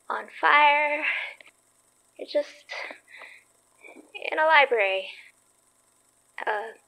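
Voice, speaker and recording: A woman acts a young female investigator in a horror podcast. Her voice is high and her delivery slow and halting. She sounds anxious yet plucky, with a nervy edge. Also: vocal fry, fairly bright tone, full character voice, low-quality recording. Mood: frightened. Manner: hesitant, nervy. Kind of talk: horror audio drama acting.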